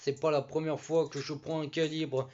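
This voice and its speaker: Rambling boastful freestyle from a man, slightly higher voice, muffled mix over a beat. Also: level tone, normal speed, a little mellow, a little hiss or hum. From Brittany. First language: French. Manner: rambling, repetitive boastful flow. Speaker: rapper. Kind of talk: freestyle rap.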